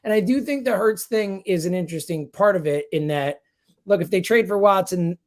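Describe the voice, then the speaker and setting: A man's voice: sits high, brisk. A man giving sports analysis in conversation, speaking emphatically and repeating himself to drive points home. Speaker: sports radio host as guest analyst.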